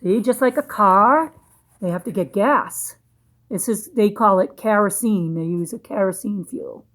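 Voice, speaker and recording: A woman reading a storybook aloud to young children, pausing in a teacherly way to ask questions and explain things simply. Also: medium pitch, a bit bright.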